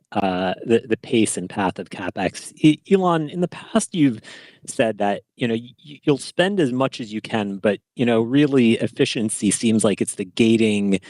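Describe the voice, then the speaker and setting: A man's voice: lowish voice, a little mellow, dry recording. A man speaking as a financial analyst, asking questions on an earnings call with hesitant, stammering starts.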